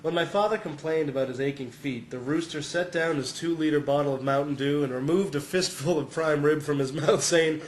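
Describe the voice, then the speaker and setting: A man's voice: fairly high pitch, a little fast, hardly any pauses, reverberant, slight background noise. A man reading a humorous first-person essay as scripted narration, sounding amused and often close to chuckling.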